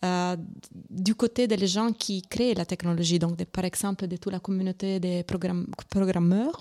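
A woman's voice: on the low side, steady tone, normal speed, warm tone. A woman with an Italian accent, a guest on a live radio interview, speaking hesitantly with many fillers and restarts. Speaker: guest from an arts organisation.

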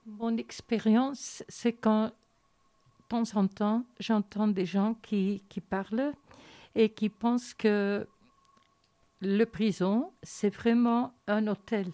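Slow, mellow Dutch-native woman. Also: medium pitch, fairly even intonation. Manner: halting, pausing mid-sentence. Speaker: guest.

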